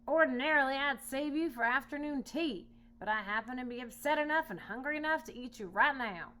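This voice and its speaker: A woman voicing a vain, hot-tempered young male lion in a children's storybook, loud, blustering and whiny about his mane. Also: high voice, animated, fairly quick, pitched above the performer's own voice. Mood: angry, menacing. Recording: noisy home recording with hum.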